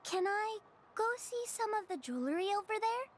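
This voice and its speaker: A woman's voice: very high voice, sing-song, moderate pace, a little hiss or hum. A woman voicing a shy, polite, frail little girl in a video game, speaking hesitantly. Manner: hesitant, timid.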